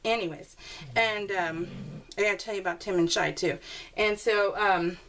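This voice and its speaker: A woman's voice: medium pitch, normal speed, fairly bright tone. A woman chatting casually to her viewers in a craft video, rambling and often interrupting herself in a giggly way. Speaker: craft video maker showing scrapbook pages. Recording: clearly noisy home recording with clicks.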